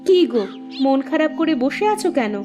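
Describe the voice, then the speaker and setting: A village wife from a cartoon folk tale, acted by a woman, with a high voice. She is caring and hopeful, coaxing her family along expressively over a music bed. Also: expressive, moderate pace. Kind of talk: cartoon story dialogue.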